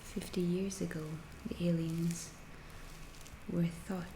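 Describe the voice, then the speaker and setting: A woman playing a calm, curious alien researcher in an ASMR roleplay, speaking softly in halting, deliberate phrases, often whispering. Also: fairly low pitch. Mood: calm, inquisitive. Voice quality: soft, breathy, often whispered. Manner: slow, deliberate, halting, clinical and detached. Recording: roomy echo, faint background noise.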